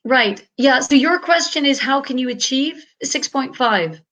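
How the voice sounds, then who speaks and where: A woman's voice: sits high, animated, a little slow, fairly bright tone. A woman from Ireland, an English tutor talking on a video call in a chatty, upbeat way with many fillers. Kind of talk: online panel talk.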